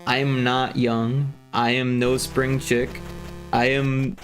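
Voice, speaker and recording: A man's voice: mid-range voice, level tone, takes it slowly, faint music behind, low-quality recording. A man in his forties, a game developer chatting casually on a livestream, rambling with lots of fillers and restarts. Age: 40s.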